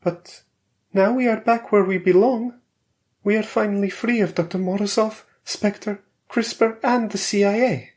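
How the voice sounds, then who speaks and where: A woman's voice: medium pitch, moderate pace, darkish tone, voice pushed up, natural voice. A man voicing an anxious, suspicious Russian wife who keeps pressing her husband with worried questions, in a spoken story. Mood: resigned.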